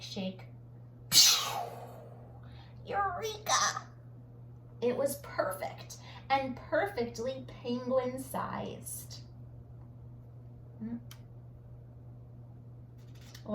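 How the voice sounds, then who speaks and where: Lady reading a kids book out loud, really lively pitch, stops to explain, bit of background noise. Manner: animated, dramatic on sound words, pauses to comment. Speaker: teacher reading a picture book aloud. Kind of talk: expressive children's read-aloud with explanatory asides. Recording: roomy echo, faint background noise.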